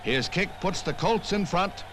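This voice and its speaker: A man's voice: high voice, lively intonation, a little slow, heavy background noise. An American man reading scripted 1960s newsreel narration in a punchy, wry manner, on an old film soundtrack. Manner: punchy, wry, punning delivery. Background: music.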